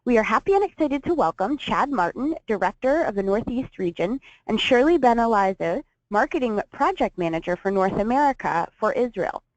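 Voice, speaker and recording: A woman hosting a webinar, reading a clear, orderly introduction with housekeeping notes. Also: fairly high pitch, no room sound. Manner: clear, orderly announcer delivery. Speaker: webinar organizer and host. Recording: dull, dry lo-fi sound.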